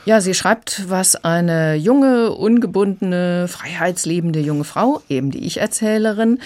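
A woman's voice: on the low side, measured pace, darkish tone. A woman working as an audiobook critic, explaining emphatically in a radio review conversation. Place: radio studio.

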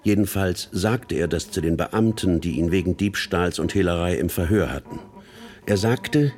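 An actor playing a matter-of-fact narrator, telling a crook's story in clipped phrases for a radio play. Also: male voice, sits low, normal speed, darkish tone, lightly acted. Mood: storytelling.

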